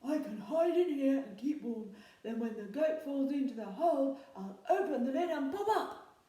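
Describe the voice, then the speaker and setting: A woman's voice: sits high, lively intonation, normal speed, mellow, recorded from a distance, pitched above the performer's own voice. A woman voicing a timid, hungry male troll in a storybook, talking in a small, anxious voice. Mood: scheming. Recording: very echoey room.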